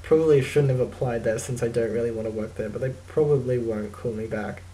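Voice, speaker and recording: A young man with an Australian accent, talking casually to his webcam in a dry, deadpan, self-mocking way while answering a list of questions. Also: medium pitch, a little fast, a little hiss or hum, low-quality recording. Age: young adult. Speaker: vlogger. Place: small indoor room.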